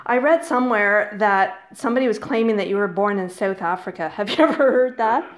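A woman's voice: medium pitch, fairly quick, a crowd in the background. A woman blogger interviewing a guest in a bubbly, eager way, laughing often. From Canada. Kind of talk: casual interview.

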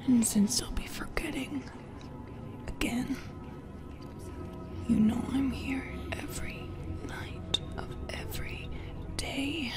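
A seductive female sleep paralysis demon, acted out by a woman, whispers in a fairly high voice. She lingers slowly over her words, teasing and possessive with a playful menace, in an ASMR roleplay with music behind her. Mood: tender menace.

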